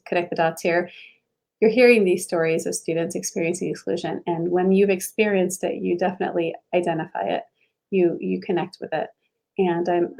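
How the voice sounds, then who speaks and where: A woman's voice: lowish voice, warm tone. A woman from Ohio, a school board candidate, answering interview questions in an earnest, reflective way with many fillers and restarts, over a video call. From Ohio, USA.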